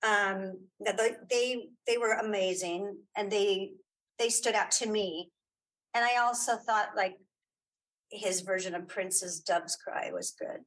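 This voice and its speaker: A middle-aged woman chatting casually as an interview guest, telling anecdotes in an animated, emphatic way and searching aloud for names. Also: mid-range voice, slow pace, poor audio. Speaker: interview guest, writer and director.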